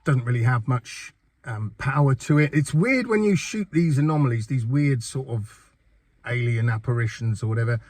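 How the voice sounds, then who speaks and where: Older male channel host, a bit croaky from a cold, rambling casual review, mid-pitched, dry room. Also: expressive, normal speed, darkish tone, no room sound. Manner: chatty and rambling, with fillers and repeated words. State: under the weather with a cold, voice a bit off. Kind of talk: casual first-impressions game review.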